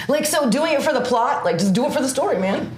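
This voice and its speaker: Blunt, lively-voiced woman in a roomy room. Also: sits high, fast talker. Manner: blunt, animated, jumps between ideas. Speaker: podcast co-host and stand-up comic. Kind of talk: casual chat.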